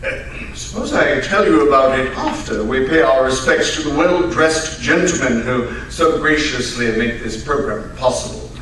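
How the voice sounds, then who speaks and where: A man playing a genial gentleman storyteller, a detective's companion, in measured old-time radio drama narration. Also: mid-range voice, lightly acted. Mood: genial, storytelling. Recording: echoey hall from far off, faint noise.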